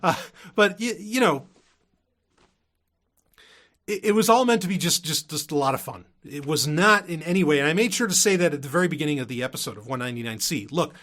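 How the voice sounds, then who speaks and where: A man's voice: a little high, a bit bright. A man hosting a solo podcast, talking to his listeners in an informal, rambling, emphatic way. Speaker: podcast host.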